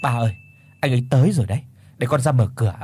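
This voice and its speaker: A man's voice: medium pitch, racing, a little hiss or hum, close to an everyday voice. A man voicing an irritated young daughter who snaps at her mother. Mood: curt. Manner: sharp, scolding.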